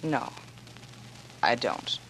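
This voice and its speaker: An actress playing a plucky, skeptical young American woman in 1940s radio drama, a sharp-witted amateur sleuth who is brisk, questioning and insistent. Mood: resolute. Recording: noisy old radio drama recording.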